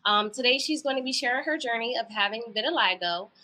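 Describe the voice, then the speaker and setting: A woman's voice: a little high, expressive, fast, sharp tone. A woman hosting a podcast who introduces her guest and asks questions in an upbeat, encouraging way. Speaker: podcast host. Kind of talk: casual interview.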